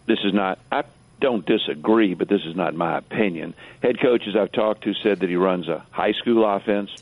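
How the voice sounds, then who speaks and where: A low-voiced man gives blunt, emphatic sports opinions as a guest on talk radio. The sound is narrow and tinny, like a phone call. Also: normal speed.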